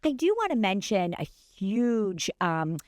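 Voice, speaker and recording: An animated woman co-hosts a podcast and works as a coach, her voice swinging widely up and down as she tells stories. She laughs often between lines. The recording is close and dry. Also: medium pitch, slow pace, no echo. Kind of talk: casual conversation.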